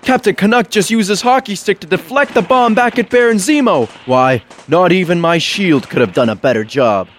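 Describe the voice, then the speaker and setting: A man's voice: high-pitched, normal speed, few pauses, slight background noise, close to an everyday voice. A man voicing an earnest, heroic American soldier captain who exclaims in old-fashioned hero phrases. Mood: alarmed. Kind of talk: comedy sketch voice acting.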